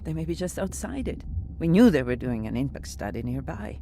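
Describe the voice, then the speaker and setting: A woman playing a cautious, even-handed research colleague in a video game, with a measured, dry way of talking. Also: rumbling low, slight background noise, exaggerated voice. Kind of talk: naturalistic video game voice acting.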